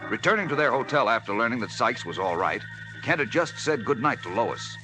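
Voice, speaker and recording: A man narrating a 1940s radio serial as its announcer, dramatic and portentous, with measured phrasing. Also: medium pitch, expressive, over music, poor audio.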